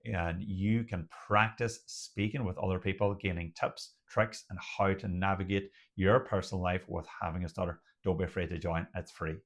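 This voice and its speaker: A young Irish man, a doctor who hosts his own channel, talking slowly and openly with a stutter and deliberate pauses. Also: sits low, fairly even intonation. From Ireland. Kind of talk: personal talk to camera.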